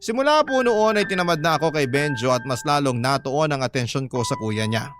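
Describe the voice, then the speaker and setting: A man's voice: on the high side, expressive, fairly quick, lightly acted. A man narrating a first-person confession in a radio drama as a discontented live-in partner, with a Filipino accent. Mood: confiding, frustrated. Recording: music bed behind voice.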